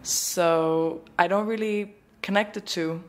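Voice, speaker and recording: A woman's voice: fairly low pitch, fairly even intonation, slow pace. A woman answering interview questions in a rambling, thinking-aloud way with many fillers. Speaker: graduate student guest.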